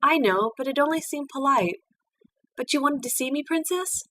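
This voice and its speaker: An eager young pony princess , acted by a woman reading a story, pitched well up high. She is earnest and easily flustered, with a stammering way of talking. The homemade recording has faint noise behind it. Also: expressive, moderate pace, fairly bright tone. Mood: shyly polite. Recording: distant mic with background noise.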